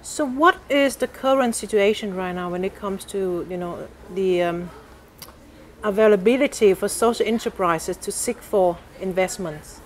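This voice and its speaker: A woman's voice: mid-range voice, moderate pace, slight background noise. A woman interviewing a guest, putting short, direct questions to him. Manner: brief prompting questions.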